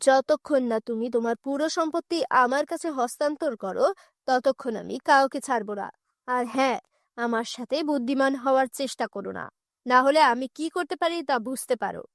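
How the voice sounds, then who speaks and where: A greedy, menacing kidnapper from a children's cartoon, acted out by a woman. He bullies in curt threats, pitched well below the narrating voice, in a dry recording. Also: fairly quick, no echo. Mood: menacing demands. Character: menacing adult male kidnapper, bullying and greedy.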